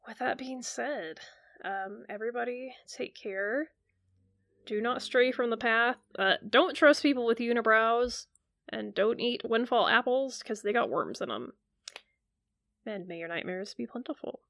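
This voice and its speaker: A woman's voice: on the high side, animated, a little slow, vocal fry, fairly bright tone, dry recording, studio quality. A woman hosting a casual podcast chat, chatty and laughing often, with plenty of filler words. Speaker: podcast host.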